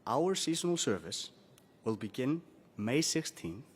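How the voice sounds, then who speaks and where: A man from Iceland presents as a company executive at a formal announcement. His delivery is that of a prepared business speech to an audience. His voice sits at a medium pitch for a man. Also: very animated, a little slow, slightly rough. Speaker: airline chief commercial officer.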